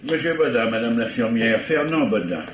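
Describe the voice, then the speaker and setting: An actor playing a dogged, polite but insinuating police commissioner who probes with stammering courtesy, in vintage French radio mystery style. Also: male voice, mid-range voice, animated, normal speed, close to an everyday voice. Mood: affable, persistent. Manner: hesitant stammering courtesy, probing. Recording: dull vintage radio recording, faint hiss.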